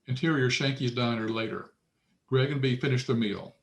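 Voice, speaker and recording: A man serves as narrator at a screenplay table read. He reads out stage directions in a matter-of-fact way, with a medium-pitched voice. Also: normal speed.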